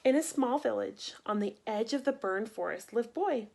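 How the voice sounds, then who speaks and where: A woman reading a picture book aloud for children in an expressive, deliberate way, acting out the story. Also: a little high, gravelly, noisy background. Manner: animated, deliberate, acts out sound effects. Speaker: storybook reader.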